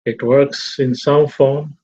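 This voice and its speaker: A middle-aged man from Tanzania giving a reflective talk to a fellowship, measured and repetitive. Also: medium pitch, flat delivery, warm tone, breathy edge. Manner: slow, measured, repeats phrases. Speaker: fellowship speaker. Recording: dull lo-fi recording.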